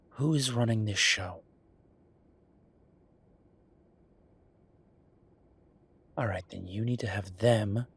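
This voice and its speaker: A man playing an exasperated, firm werewolf bureaucrat in an audio roleplay, talking emphatically in a dry, husky voice. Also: lowish voice. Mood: firm, annoyed. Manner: exasperated, emphatic, repeating himself. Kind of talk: audio roleplay acting, one side of a phone call.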